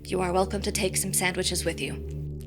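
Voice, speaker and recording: An actress playing a menacing corporate branch manager in an audio drama, composed, condescending and businesslike. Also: female voice, on the low side, little pitch movement, normal speed, music in the background, close to an everyday voice. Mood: brisk, cool.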